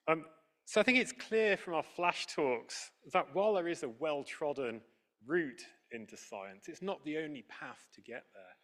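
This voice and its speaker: A man's voice: on the high side, expressive, moderate pace, fairly bright tone. Man hosting, introducing speakers. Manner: upbeat, scripted introductions. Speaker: event host.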